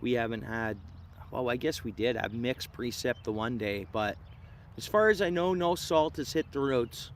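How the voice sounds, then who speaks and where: Outdoor ambience sits behind a man with an Ontario Canadian accent and a medium-pitched voice. He talks like a livestream host out fishing, chatting with viewers in loose, slangy, laid-back phrases. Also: normal speed. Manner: laid-back slangy talk, says dude, man, bro. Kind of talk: casual live chat with viewers. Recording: clear outdoor ambient noise.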